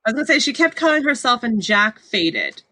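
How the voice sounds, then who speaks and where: A woman's voice: fairly high pitch, sing-song, brisk, bright, forceful tone. A woman in a casual book discussion on a podcast, talking informally with a wry manner. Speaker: podcast panelist.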